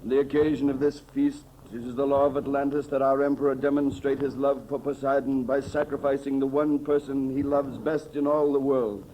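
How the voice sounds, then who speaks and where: A man's voice: mid-range voice, level tone, fairly quick, few pauses, warm tone, lightly acted, rough recording. A man playing a solemn official of Atlantis formally proclaiming a grim law in a 1930s radio serial, on a hissy old recording.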